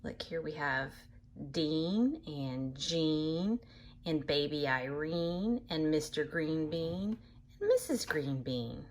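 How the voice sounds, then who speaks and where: Woman, children's storyteller, lowish pitch, unhurried pace, expressive picture-book reading, simple patient explanations. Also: a little hiss or hum. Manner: animated, acts out animal sounds, addresses young listeners. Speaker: read-aloud storyteller for children.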